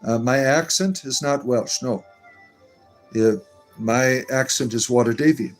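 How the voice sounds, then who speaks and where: A man's voice: mid-range voice, a little slow, faint music behind. A man hosting a live stream, reading viewer comments aloud and answering them in a meandering, chatty way. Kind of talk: casual live-stream chat.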